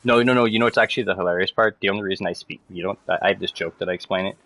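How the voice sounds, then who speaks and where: Young bilingual guy, French first language, deep bright voice, dry close sound, talks fast and rambles with lots of swearing. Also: no echo, poor audio. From French Canada. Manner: fast, rambling, swears a lot, many "like" fillers. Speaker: streamer chatting with friends. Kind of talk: casual rant to friends.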